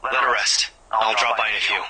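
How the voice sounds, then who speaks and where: A terse young dude on the phone in a visual novel, really noisy crackly call. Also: male voice, lowish voice, fairly even intonation, brisk. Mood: curt, concerned. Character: curt, reserved young man, quietly caring friend.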